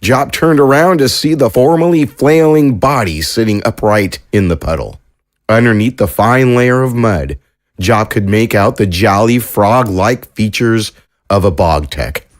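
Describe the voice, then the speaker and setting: A man narrating an audio drama in a steady storytelling delivery. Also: on the low side, unhurried.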